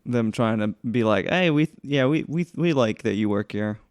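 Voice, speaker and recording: A man chatting casually as a podcast co-host, grumbling in a halting, informal way. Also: mid-range voice, lively intonation, clear, resonant tone, no echo, clean studio sound. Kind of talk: casual chat.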